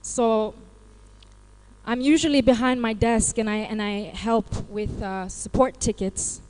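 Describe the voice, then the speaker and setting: A woman's voice: fairly high pitch, fairly even intonation, measured pace, darkish tone, a little hiss or hum, low-quality recording. A woman from Los Angeles with an American accent, giving a live workshop talk and questioning the audience. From Los Angeles, California. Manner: interactive, asks the audience questions. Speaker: IT support advisor presenting.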